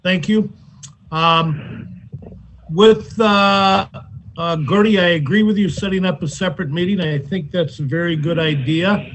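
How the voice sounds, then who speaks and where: A man's voice: high-pitched, measured pace, fairly bright tone, slight background noise, low-quality recording. A man speaking as a county supervisor, politely and hesitantly asking questions in a public meeting.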